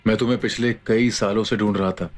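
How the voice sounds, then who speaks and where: A man's voice: on the low side, fairly even intonation, quick pace, faint music behind, lightly acted. An actor playing a loyal, self-made businessman friend in an audio drama. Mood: grateful. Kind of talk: Hindi audio drama acting.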